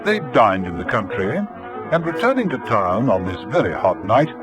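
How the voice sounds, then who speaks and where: A man narrating an old-time radio serial in a measured, dramatic storytelling manner. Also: fairly low pitch, sing-song, over music.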